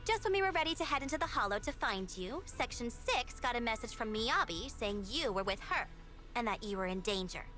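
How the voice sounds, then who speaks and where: A woman voicing a brash, teasing young mercenary leader in an anime-style game, with a sassy, expressive delivery. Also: a little high, brisk, faint music behind. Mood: explaining.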